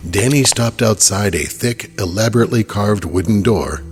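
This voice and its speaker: Very deep male horror narrator. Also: moderate pace, faint music behind. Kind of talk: scripted horror audio drama narration.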